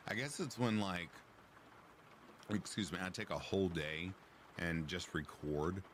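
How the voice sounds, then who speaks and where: A man with a deep, raspy voice hosting a livestream, chatting casually and answering comments from the live chat. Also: unhurried, slight background noise. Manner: relaxed, chatty, reads chat aloud. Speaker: livestream host.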